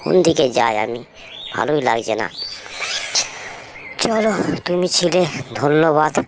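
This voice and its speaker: A man's voice: a little high, sing-song, a little slow. A man voicing a worried, pleading younger village man in a cartoon ghost story. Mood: anxious, grateful. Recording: clear background noise with chirping birds.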